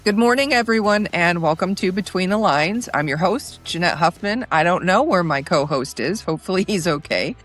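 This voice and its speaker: A woman's voice: fairly low pitch, animated, moderate pace, few pauses, fairly bright tone, faint music behind. A woman hosting an interview show, introducing and questioning her guest. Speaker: host.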